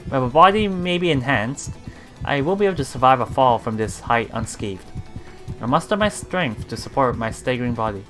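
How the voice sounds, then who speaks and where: A streamer reading visual novel text aloud in a halting way, adding casual commentary over game music. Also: mid-range voice, expressive, fairly bright tone. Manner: halting reading, often repeating broken words. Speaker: let's player streamer.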